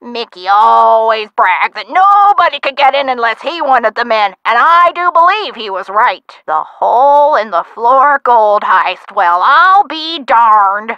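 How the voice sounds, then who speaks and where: A feisty, scatterbrained old woman from a video game, chatty and full of folksy exclamations. Her voice is bright and highish, swinging up and down in animated swoops as she loses and finds her thread. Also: no echo, muffled recording, heavily acted. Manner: chatty, forgetful, folksy exclamations, drawn-out words. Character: feisty, scatterbrained, card-playing elderly woman with old-time slang. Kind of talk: video game character acting.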